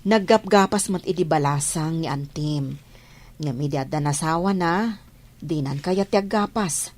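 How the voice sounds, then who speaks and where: Low-voiced, knowing mother. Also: a little slow, a little mellow. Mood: matter-of-fact. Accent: Filipino, Ilocano. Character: knowing mother, gossiping and moralizing. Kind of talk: radio drama acting.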